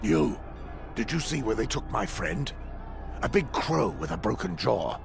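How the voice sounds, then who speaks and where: Defiant prisoner ranger for a game: deeply fried, gruff voice over music. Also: male voice, big pitch swings, unhurried, heavily acted. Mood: wary questioning. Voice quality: gravelly. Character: defiant, gruff male Night's Watch ranger held captive. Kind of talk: dramatic video game acting.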